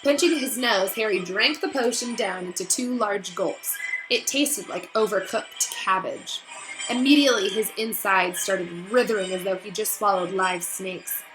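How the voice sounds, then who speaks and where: A woman reading a children's novel aloud in expressive storybook narration. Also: mid-range voice, measured pace. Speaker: storybook reader. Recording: roomy echo, faint music behind.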